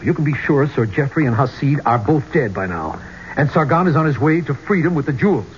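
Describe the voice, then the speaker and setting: Tense archeologist in old radio drama. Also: male voice, fairly high pitch, sing-song, a little fast. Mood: grave. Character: tense archeologist trapped in an ancient tomb. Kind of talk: 1970s radio drama acting. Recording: dull, noisy old radio broadcast.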